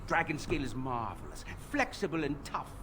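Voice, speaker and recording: A man voicing a fussy, haughty, high-strung master armorer in a fantasy video game, with a dramatic, perfectionist manner. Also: huge pitch swings, fairly quick, noisy background. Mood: excited, proud.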